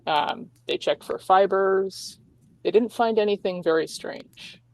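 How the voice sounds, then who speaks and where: Woman, game master, creaky voice, halting improvised narration, informal, dry close recording. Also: mid-range voice, no echo, rough recording.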